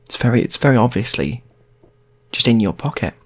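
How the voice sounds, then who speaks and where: Incredulous male colleague, put on by a storyteller, pedantic, stumbling and insistent, animated with a husky edge. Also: mid-range voice, fairly quick, natural voice.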